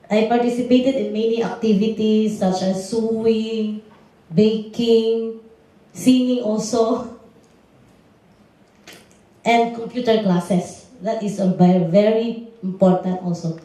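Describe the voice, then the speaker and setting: Woman, slow and deliberate testimony; distant, very echoey hall. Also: mid-range voice, warm tone. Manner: slow and deliberate, simple non-native phrasing. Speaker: panelist, former domestic worker. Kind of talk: prepared personal testimony. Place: large lecture hall. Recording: echoey lecture hall, far from mic.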